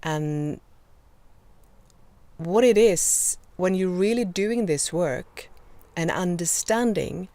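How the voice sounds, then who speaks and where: A woman in her fifties with a Swedish accent, hosting a live video Q&A and gently encouraging her viewers. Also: lowish voice, expressive, no room sound. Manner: gentle, encouraging, slow and reflective with many pauses. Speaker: host.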